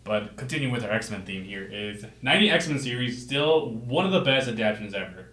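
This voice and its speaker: A man's voice: medium pitch, lively intonation, brisk, a bit bright, roomy sound, poor audio. A man co-hosting a podcast in casual chat, hesitant and self-deprecating, swearing casually. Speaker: podcast co-host.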